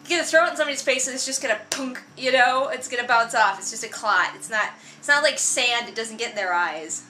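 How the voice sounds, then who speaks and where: Bright, high-voiced sarcastic woman commentator. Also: quick pace, faint music behind. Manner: exasperated, mocking, emphatic, sprinkled with swearing. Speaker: book reviewer and vlogger. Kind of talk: casual sarcastic read-along commentary.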